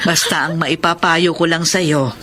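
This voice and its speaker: A gentle, soothing mother in a radio drama, speaking in a low, deep register. Music plays clearly underneath her earnest advice. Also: fairly even intonation, a little slow.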